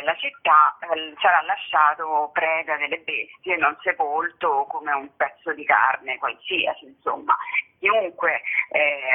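A woman gives a radio interview by phone. Her voice is rough with creak and huskiness, squeezed by the thin line. She explains earnestly, pausing and searching for words as she goes. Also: fairly low pitch. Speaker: guest performing artist and author. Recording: narrow-band telephone line on radio.

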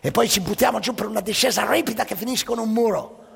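Boastful Texan daredevil acted by a man, blustering broken grammar, gravelly voice, long hall reverb. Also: sits high, quick pace, a bit bright, full character voice.

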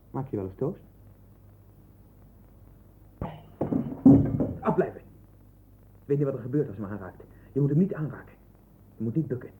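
An actor in a 1960s radio play voicing a troubled man sinking into obsessive visions, erratic and husky. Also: lowish voice, slight background noise. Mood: tense, fixated. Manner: erratic, swinging from hushed brooding to loud agitation. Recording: muffled narrowband old radio recording.

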